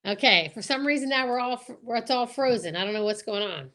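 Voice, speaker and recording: A woman's voice: a little high, quick pace, a bit bright, slight background noise. Enthusiastic woman chatting on a livestream. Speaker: show host. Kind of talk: casual chat on a livestream.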